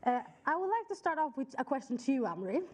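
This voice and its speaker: A woman's voice: high voice, very animated, fast talker, darkish tone. A woman moderating a stage conversation, politely and formally introducing the guests. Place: stage at a conference.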